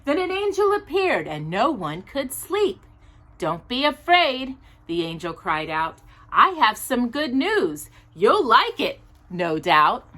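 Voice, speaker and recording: A woman hosting a children's storytime, reading aloud expressively in a deliberate storybook manner with casual asides. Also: fairly high pitch. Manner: animated, deliberate storybook delivery.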